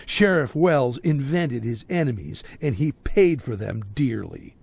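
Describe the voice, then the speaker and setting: An actor playing a jovial but menacing small-town crime boss in a game cutscene, rambling with folksy sarcasm and rhetorical questions. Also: male voice, medium pitch, animated, unhurried, gravelly, a little mellow, slightly rough, no room sound, full character voice. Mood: musing, sardonic. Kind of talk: video game cutscene acting.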